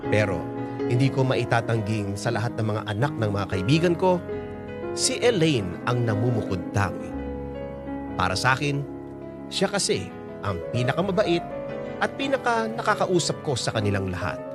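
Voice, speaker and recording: A man's voice: medium pitch, very animated, normal speed, pauses often, lightly acted. An actor playing a middle-aged Filipino man who narrates his own life in a reflective, confessional way for a radio drama. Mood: wistful, fond. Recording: loud music under the voice. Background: music.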